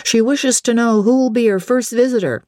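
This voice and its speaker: A flustered young manservant from a classic novel, as read by a woman, pitched up and earnest. He reports to his betters with plain-spoken, servile insistence. The sound is close and dry. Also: moderate pace, a little mellow, no echo, natural voice. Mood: earnest, reporting. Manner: earnest, servile, rustic turns of phrase.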